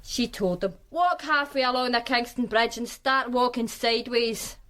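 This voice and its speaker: An actress plays a guarded, streetwise Glasgow woman with a broad Glaswegian accent in a radio play. Her voice sits a little high, and she answers questions in a dry, sardonic, plainspoken way that gives little away. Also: moderate pace, a bit bright, lightly acted. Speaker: witness, flatmate of the victim. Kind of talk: radio drama acting.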